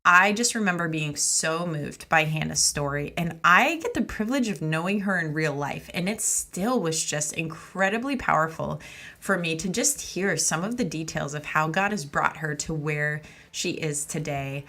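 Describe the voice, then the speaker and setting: A woman's voice: deep voice, normal speed, pushed and bright. A woman hosting a podcast, chatting and introducing in an informal, effusive way. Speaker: podcast host and interviewer. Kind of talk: casual podcast intro and chat.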